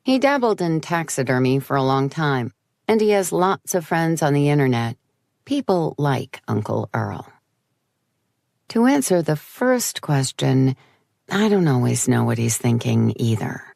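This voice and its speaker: A woman with a low voice narrates a first-person story in a wry, understated way. The recording is close and dry, with no room sound, in the manner of an audiobook. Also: very animated, unhurried, creaky, a little mellow, no echo.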